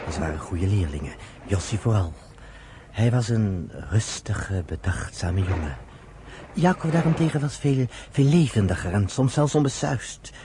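An actor in a radio play voicing an earnest, patient storyteller, with measured, rhetorical phrasing. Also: male voice, sits low. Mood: patient, fond. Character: earnest, patient male storyteller explaining his people's history. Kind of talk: radio drama acting. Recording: slightly noisy old radio recording.

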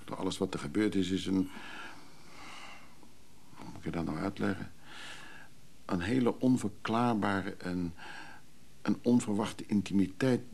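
An actor playing a weary, protective man who is somewhat overwhelmed, in naturalistic radio drama dialogue, with a gravelly voice. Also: low voice, fairly even intonation, measured pace, long pauses, a little mellow, full character voice.

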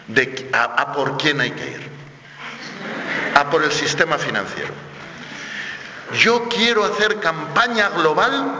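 Emphatic man in an echoey hall. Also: on the high side. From Spain. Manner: animated, colloquial, emphatic. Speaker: panelist. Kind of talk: round-table debate. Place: theatre school hall. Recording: echoey hall, dull sound.

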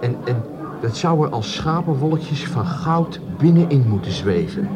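An actor playing a dreamy, penniless plumber in a radio play, given to stammering rhapsodies and self-mockery. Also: male voice, mid-range voice, animated, unhurried, over music, close to an everyday voice. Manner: stammering, rhapsodic, repeats words. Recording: café crowd behind the voice.

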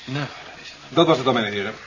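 Radio play president male actor: commanding, solemn, oratorical, mid-pitched, on dull vintage tape. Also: brisk, fairly bright tone, close to an everyday voice. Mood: firm. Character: stern, resolute male president addressing officials. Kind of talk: 1970s Dutch radio play acting. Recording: dull, hissy 1970s radio recording.

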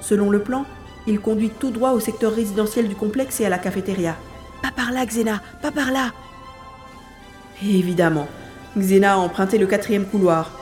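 A woman's voice: medium pitch, steady tone, normal speed, darkish tone, lightly acted. A woman acts a young boy on a rescue mission in an audio drama. He is determined and thinks aloud, describing each move. The voice sits in a roomy echo with music around it. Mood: alarmed. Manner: thinks aloud, narrating own actions.

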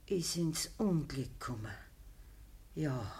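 Husky, dying Bavarian peasant mother. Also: low voice, expressive, mellow, exaggerated voice. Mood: sorrowful. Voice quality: husky, weak. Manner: halting, deferential. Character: dying peasant mother, humble, sorrowful, devoted. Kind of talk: naturalistic dialect radio play acting.

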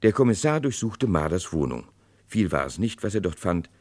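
A man's voice: low-pitched, sing-song, normal speed, a little mellow, no room sound. A man giving dramatic scripted narration for a vintage radio crime play.

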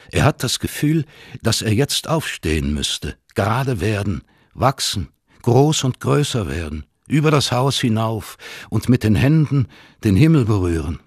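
Slightly husky male literary narrator, close and dry. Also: on the low side, no room sound.

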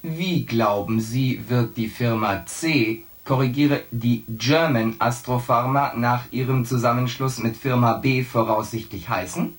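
Guy playing a spaceship ai, slow n pedantic, cold logic, sci-fi radio play. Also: medium pitch, close to an everyday voice. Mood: coolly analytical. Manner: stilted, pedantic, emotionless phrasing. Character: coolly logical male spaceship artificial intelligence. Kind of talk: science fiction radio play.

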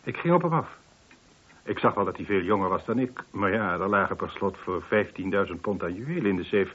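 A husky older man of means in a radio drama, formal and curt. His pitch moves widely while he stays composed, and the recording is dull and lo-fi. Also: lively intonation, fairly quick, a bit bright, full character voice. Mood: irritated, firm. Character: wealthy older householder reporting a shooting, curt and composed. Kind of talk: radio play acting.

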